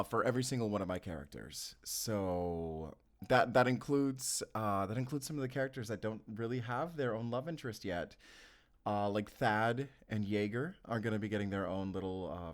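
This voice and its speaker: A man casually addressing his listeners as an audio creator, easygoing and hesitant in his phrasing. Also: lowish voice.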